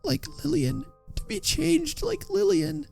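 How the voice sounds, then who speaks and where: A man voicing a despairing young mother in a story, hushed and desperate. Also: extremely high pitch, slow pace, gravelly, soft background music, voice pushed up, full character voice. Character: despairing young mother, faint and low-voiced.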